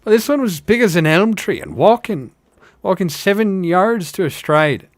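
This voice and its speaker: Storybook hobbit labourer, played by a man: Irish accent, earnest, folksy, emphatic, pitched up and very lively. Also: normal speed, dry recording. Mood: earnest insistence. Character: earnest simple working hobbit, wonder-struck male tale-teller. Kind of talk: audiobook-style fantasy character reading.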